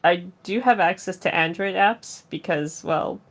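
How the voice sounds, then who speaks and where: A woman chatting casually about devices on a live voice call, full of fillers and quick laughs. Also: on the low side. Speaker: jailbreak developer on a stream. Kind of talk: casual tech chat.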